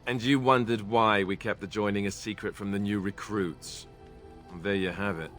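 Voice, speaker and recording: A man's voice: fairly low pitch, fairly even intonation, moderate pace, a bit bright, lightly acted. A man playing a young Grey Warden warrior in a video game, conversational and self-deprecating, with a wry, earnest way of talking. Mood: somber, reflective. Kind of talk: video game dialogue acting. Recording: music behind the voice. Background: game music.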